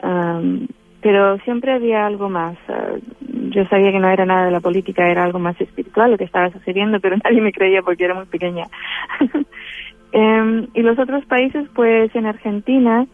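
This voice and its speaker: A woman's voice: mid-range voice, normal speed, dry recording, soft background music. A Chilean woman with a Chilean Spanish accent, a guest in a spiritual interview, who talks hesitantly with many fillers and restarts. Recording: tinny phone-line sound.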